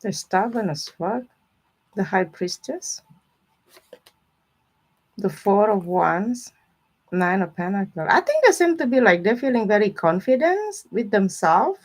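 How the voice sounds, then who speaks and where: A woman's voice: medium pitch, very animated, measured pace, long pauses, rough recording. A woman with informal, non-native English phrasing, chatting casually with viewers as she gives a live tarot reading. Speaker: tarot reader hosting a live stream.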